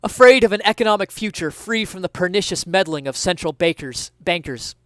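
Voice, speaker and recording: A cornered banker thief in a parody crime tale, put on by a male reader. His voice jumps high and loud, frantic and pleading, in a dry, close recording. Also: expressive, brisk, no room sound. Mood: afraid.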